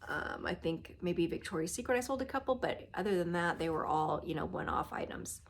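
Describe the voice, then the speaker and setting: A middle-aged woman with a nasal voice from a cold, chatting casually to camera in her living room, trailing off softly at the ends of phrases. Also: lowish voice, lively intonation, brisk, a little hiss or hum. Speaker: reseller vlogger. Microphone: phone or camera close at arm's length.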